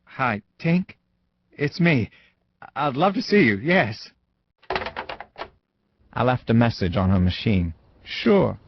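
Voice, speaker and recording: A middle-aged man with an English accent playing a reflective Englishman who narrates his memories in a measured, understated, wistful way. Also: mid-range voice, sing-song, slight background noise. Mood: polite, understated. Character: reflective Englishman recalling his youth in Los Angeles. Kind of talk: dramatised scripted narration. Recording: dull muffled audio under music. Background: clear background music.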